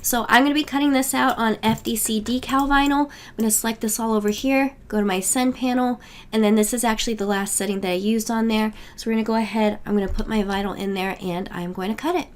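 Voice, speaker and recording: A woman's voice: a little high, fairly even intonation, fast. Woman with a cold-weakened voice. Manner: chatty, with frequent fillers and thinking aloud. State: weak voice from getting over a cold. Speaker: crafting tutorial host. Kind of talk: casual step-by-step tutorial.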